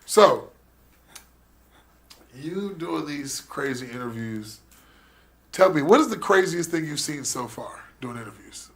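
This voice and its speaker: A man's voice: a little high, animated, measured pace, long pauses. A man, hosting a radio show in casual, joking, slangy chat.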